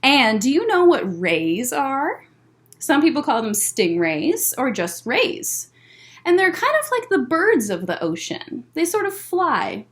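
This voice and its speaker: A woman hosting a story time for young children, talking and reading aloud in an encouraging, animated way with simple, child-directed phrasing. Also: high-pitched, slow.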